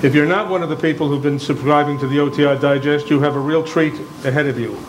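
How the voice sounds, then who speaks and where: A man's voice: a little high, steady tone, fast. A man acting as event host, giving a formal introduction of a guest speaker. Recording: noisy live event room recording.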